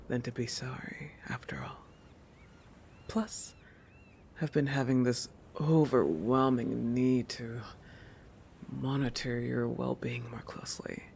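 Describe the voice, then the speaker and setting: Man acting out a slow, gravelly, possessive shark predator. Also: medium pitch, darkish tone, slight background noise. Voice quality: breathy, often dropping to whispers. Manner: halting, musing, with thoughtful hums. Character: possessive, oblivious shark person, apex predator protector. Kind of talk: intimate scripted roleplay audio, ASMR-like.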